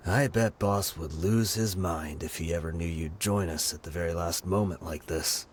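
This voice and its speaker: A man's voice: low-pitched, slow, a little hiss or hum. An actor playing a weary male mercenary leader who speaks in a brooding, confessional way. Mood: wry.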